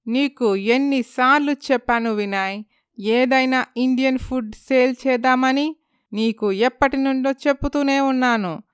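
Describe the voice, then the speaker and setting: Woman playing reproachful businesswoman, crisp high-ish voice. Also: fairly even intonation, measured pace, no room sound, clean studio sound. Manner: reproachful, insistent. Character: blaming, anxious businesswoman wife living abroad.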